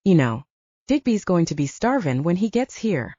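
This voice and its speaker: A woman playing an indignant male soldier, very lively pitch. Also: normal speed, no room sound, dull audio. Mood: protesting. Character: outspoken, protesting young male werewolf soldier, defends his friends.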